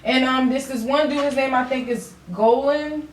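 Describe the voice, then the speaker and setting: A woman's voice: high voice, fairly even intonation, normal speed. A woman with a Philadelphia accent chatting casually on a podcast, blunt and streetwise, with plenty of slang and swearing. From Philadelphia, USA. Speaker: podcast host and rapper. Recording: roomy echo, distant mic, faint noise.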